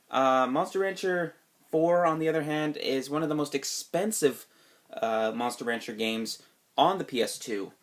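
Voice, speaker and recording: A man recovering from a cold, sniffly, casually talking through his game collection with lots of fillers and restarts. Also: mid-range voice. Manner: rambling, many fillers and restarts. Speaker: video game collector vlogger. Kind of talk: casual video review.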